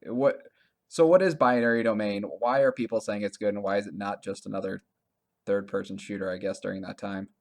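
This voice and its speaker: A man's voice: on the low side, steady tone, brisk, a little mellow. A man co-hosting a casual gaming podcast, informal and reactive, often asking questions. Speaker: podcast co-host. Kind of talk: casual video game chat.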